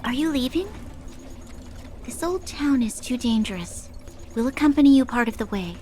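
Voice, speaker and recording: An actress voicing a calm, protective young woman companion in a video game, considerate in manner. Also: sits high, moderate pace, a little hiss or hum. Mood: concerned.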